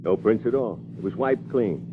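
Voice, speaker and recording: An actor playing a loyal, plain-spoken police sergeant sidekick with a folksy manner in a 1950s radio crime drama. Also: male voice, fairly low pitch, lively intonation, normal speed, over music, natural voice. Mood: matter-of-fact. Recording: noisy, tinny old radio recording, vehicle hum.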